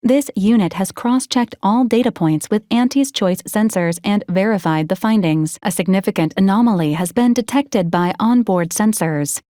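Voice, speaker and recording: A woman's voice: medium pitch, dark tone, no room sound, lightly acted. Woman acting as formal, coolly logical ship AI, dry sound. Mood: formal, matter-of-fact. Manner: measured, clipped, procedural, impersonal. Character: female ship's AI unit, formal, coolly logical, dutiful. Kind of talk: scripted video game voice acting.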